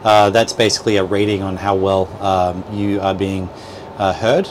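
A man's voice: low-pitched, normal speed. A man in his thirties giving an informal, instructional walkthrough, explaining things with hesitant, repeated words. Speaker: boat dealer presenter. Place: small boat cabin at a marina. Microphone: clip-on lapel mic. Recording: noisy boat cabin with steady background hum.

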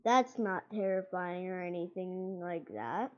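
A woman's voice: fairly low pitch, a little slow, a little mellow, lo-fi sound. A woman improvising casually and playfully in a gaming video, often muttering.

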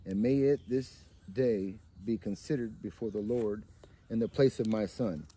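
A man reading the words of an aged patriarch at an altar, solemn and reverent, in a dark voice. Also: on the low side, unhurried, husky.

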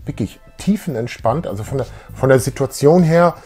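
A middle-aged man with a slightly rough, husky voice chats as co-host in a small room. He is eager and informal, with faint music now and then. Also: mid-range voice, animated, moderate pace, a little mellow. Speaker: co-host of a retro fan show. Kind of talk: casual chat. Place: small indoor room with collectibles.

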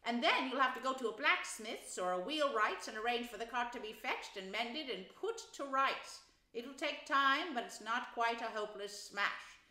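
Woman as a brisk, exasperated water rat, echoey. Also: fairly high pitch, sharp tone, voice pushed up. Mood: irritated. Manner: firm, practical, scolding.

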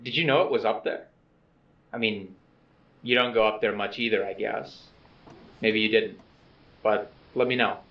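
An awkward, earnest man played by an actor talks haltingly into a video letter, modest and unsure of himself. His voice sits a little low. It comes through echoey and somewhat distant, as a played-back recording. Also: lightly acted. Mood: hesitant, subdued. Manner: hesitant, halting, softly self-effacing. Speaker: narrator of a personal video letter. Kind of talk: low-key found-footage video message monologue. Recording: dull, echoey, distant playback with music.

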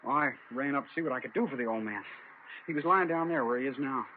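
An American actor playing an eager, cooperative eyewitness in an old-time radio crime drama. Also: male voice, medium pitch, fast talker, lightly acted. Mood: urgent recounting. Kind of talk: 1950s radio acting. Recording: muffled, noisy vintage radio broadcast.

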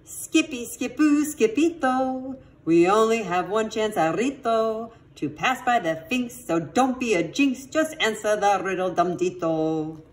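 A woman's voice: low voice, expressive, slow, low-quality recording. A woman voicing chummy, teasing little male Chihuahuas from a storybook, emphatic and sprinkled with Spanish words.

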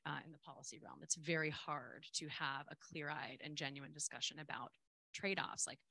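A woman's voice: sits low, steady tone, moderate pace, creaky, sharp tone. A middle-aged woman reflecting aloud, unscripted, as a panelist on a conference stage.

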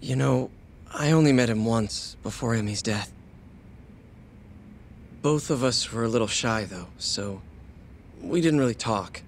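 A man's voice: medium pitch, unhurried, long pauses, slight background noise, lightly acted. Subdued, weary male prisoner played by a man. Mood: regretful, hushed. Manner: hesitant, subdued. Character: weary, downcast, wrongly imprisoned man. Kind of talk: video game cutscene acting.

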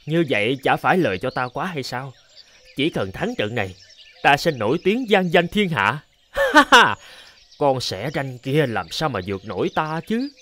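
A man's voice: medium pitch, big pitch swings, moderate pace, bright, forceful tone. A man voicing a boastful, scornful, scheming crow in a children's cartoon, with a smug, theatrical delivery. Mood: gloating.

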